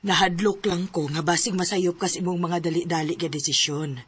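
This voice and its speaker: A woman acts as a middle-aged mother in a radio drama. Her voice is husky and gentle. She counsels earnestly, with worry and resignation. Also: on the low side, fairly even intonation, moderate pace. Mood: worried, gentle. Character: worried, supportive, resigned mother of a young wife. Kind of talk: Filipino radio drama acting.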